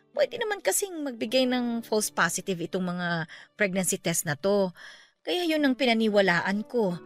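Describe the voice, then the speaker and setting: A middle-aged aunt in a radio drama, fretful and hesitant. Her fairly high voice swings widely in pitch, with music playing underneath. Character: anxious middle-aged aunt, newly pregnant, hesitant and doubtful. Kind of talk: Tagalog radio drama acting.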